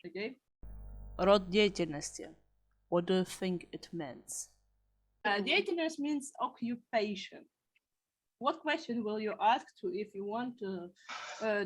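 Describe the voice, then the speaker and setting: A woman teaching a beginner language class, patiently explaining words one at a time. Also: medium pitch.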